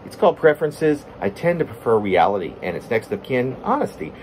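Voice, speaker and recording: Middle-aged man reading poetry, expressive pitch swings. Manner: halting, self-interrupting delivery. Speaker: poet reading own work. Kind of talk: informal poetry reading with asides. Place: plain room at home, indoors. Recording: steady room hum, air conditioning.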